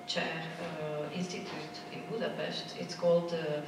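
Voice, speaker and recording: A woman speaks at a symposium in a slow, halting way, often searching for words. Her voice sits a little low. She is heard from a distance in a large, very echoey theater hall with clear background noise. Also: little pitch movement. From Romania. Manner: halting, searching for words in a non-native language. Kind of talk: unscripted conference presentation.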